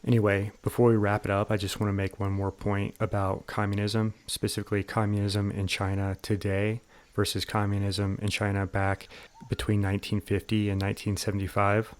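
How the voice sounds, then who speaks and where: A man hosting a solo history podcast, reading out documents and explaining events in a measured, steady way. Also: lowish voice. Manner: measured, steady delivery with occasional fillers.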